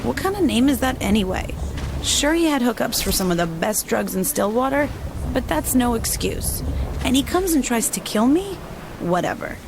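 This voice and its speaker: A woman's voice: on the high side, very animated, moderate pace, creaky. An actress playing a brash, hard-partying young gang woman, cocky and flippant, in a video game audio log. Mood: cocky, dismissive. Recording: noisy game capture.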